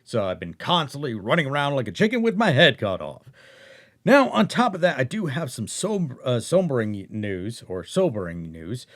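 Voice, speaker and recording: A man giving a rambling, casual update to viewers, halting and often rephrasing himself as host and narrator. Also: mid-range voice, very animated. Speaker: audiobook narrator and channel host.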